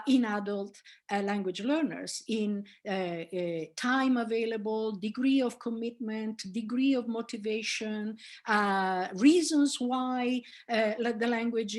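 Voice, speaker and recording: Middle-aged lady lecturing, fairly high voice, lots of uh's and restarts. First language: Italian. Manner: explanatory, with frequent fillers and restarts. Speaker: linguistics professor. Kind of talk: academic public lecture.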